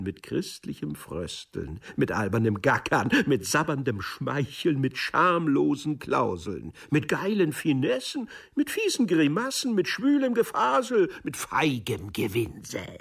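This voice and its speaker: A man's voice: mid-range voice, creaky, slightly rough, recorded from a distance, exaggerated voice. A man performing a sneering, theatrical literary recitation in a mocking, mannered way for a radio play.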